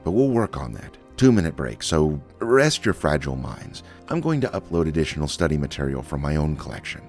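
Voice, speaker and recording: A biting, sardonic teacher, put on by a man, holds court over his students. The voice sits very deep yet rises and falls with lively emphasis, each word laced with bitterness, as faint music plays behind. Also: a little fast, heavily acted. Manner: acid, scornful, lecturing.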